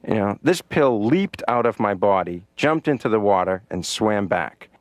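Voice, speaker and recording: A middle-aged man voicing a cartoon patient who tells stand-up jokes in a deadpan way, filling his lines with "you know.". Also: lowish voice, normal speed, no room sound, studio quality, lightly acted. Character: comedian patient on the therapy couch.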